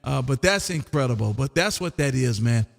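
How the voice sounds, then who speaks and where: A man's voice: medium pitch, expressive, normal speed, gravelly. An older man of about sixty hosting an amateur radio stream, rambling casually and folksily, often tagging sentences with "man". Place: home radio room. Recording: long echoing room reverb.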